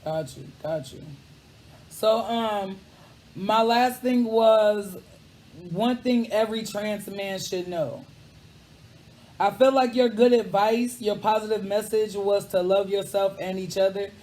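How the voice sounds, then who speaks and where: A man hosting a casual interview, asking questions in a relaxed, candid, conversational way. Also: high-pitched, slow, poor audio. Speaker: host.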